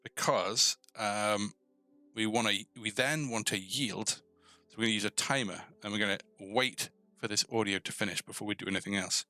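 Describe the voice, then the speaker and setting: A man hosting a casual live coding tutorial, thinking aloud informally and tentatively, often pausing mid-thought as he works. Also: on the low side, pushed and bright, no room sound, faint music behind. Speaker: host of a coding tutorial stream.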